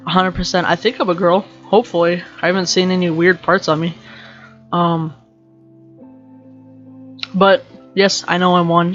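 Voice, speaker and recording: A young woman with a deep voice hosting a casual motivational rant podcast, rambling and joking as she goes. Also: dull audio. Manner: rambling, joking, self-interrupting. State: slightly sick with allergies. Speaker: podcast host.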